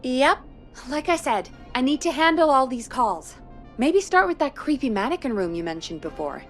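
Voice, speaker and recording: A woman playing a brisk, practical radio station producer in a video game. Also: high-pitched, sing-song, faint music behind. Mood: brisk, teasing.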